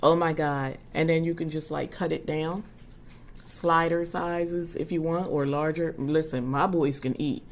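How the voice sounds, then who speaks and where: Husky, low-pitched woman, 40s, casual livestream host, chatty and friendly with viewers. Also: steady tone, unhurried. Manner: chatty and warm toward her viewers, frequent ums.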